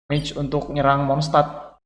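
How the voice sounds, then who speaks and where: A man's voice: fairly high pitch, monotone, measured pace, slight background noise. A man with an Indonesian accent giving casual gameplay commentary as a streamer. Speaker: game streamer. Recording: echoey reverberant mic over game music. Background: video game music.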